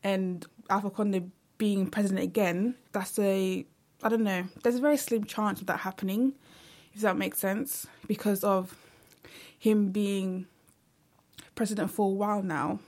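A woman's voice: medium pitch, steady tone, measured pace, a little mellow. A young woman speaking earnestly in a casual vlog monologue, with frequent fillers like "like" and "you know". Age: young adult. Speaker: vlogger.

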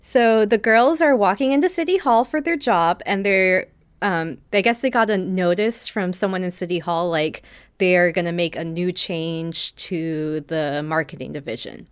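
A woman's voice: on the low side, moderate pace, clear, resonant tone. A woman podcast host giving a casual, chatty recap, telling the story with acted-out quotes and plenty of "like".

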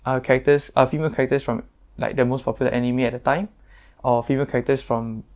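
A young man with a Singaporean English accent and a naturally deep voice, chatting casually as a guest, pausing often with fillers and restarts. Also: steady tone, a little mellow. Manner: hesitant, many fillers and restarts. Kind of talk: casual chat.

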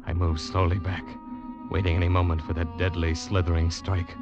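A man's voice: low voice, level tone, lightly acted. An actor playing a guilt-ridden young seaman who narrates his ordeal in tense, dramatic old-time radio style. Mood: hushed, tense dread. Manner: tense, dramatic, deliberate storytelling. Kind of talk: 1940s-50s radio drama acting, suspense narration. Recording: muffled old radio broadcast, loud music behind.

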